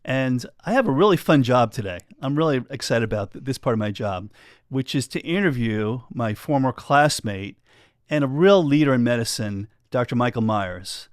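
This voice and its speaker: A man in his sixties hosts a medical interview with open enthusiasm and the odd halting restart. His pitch sits in the middle range, and the recording is dry and close. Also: lively intonation, dry recording. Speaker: physician interview host. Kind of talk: friendly interview hosting.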